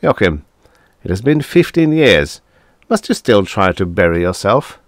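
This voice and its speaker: A man's voice: lowish voice, very animated, unhurried, no echo, clean studio sound. A man voicing a stout, jovial older male professor who talks in a hearty, overbearing way, chuckling and pushing his point. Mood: insistent.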